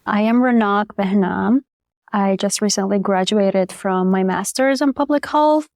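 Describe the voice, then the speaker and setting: A woman's voice: medium pitch, measured pace, gravelly, no room sound, clean studio sound. A young woman from Iraq whose first language is Chaldean, a public health researcher talking reflectively in an interview. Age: young adult. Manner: soft-spoken, reflective, frequent "like" and restarts. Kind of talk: interview conversation.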